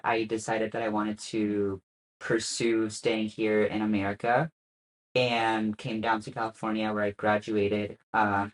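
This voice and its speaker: A man's voice: lowish voice, rough recording. Young man telling his story. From Spain. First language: Spanish. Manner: relaxed, hesitant with frequent "uh" fillers and soft laughs. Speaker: guest. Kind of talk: casual interview chat.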